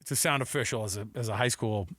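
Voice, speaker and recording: A man's voice: on the low side, quick pace, a bit bright, slight background noise. A man hosting sports talk radio, giving opinions emphatically and repeating his points for weight. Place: radio studio.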